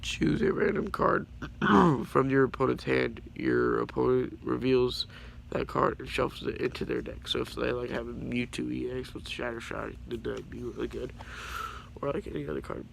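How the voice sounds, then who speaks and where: Raspy teen boy, gravelly and sick. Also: fairly even intonation, moderate pace. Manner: stumbles over card names, apologetic and rambling. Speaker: YouTuber showing his card collection. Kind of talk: casual card showcase. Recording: low-quality home audio with faint background noise.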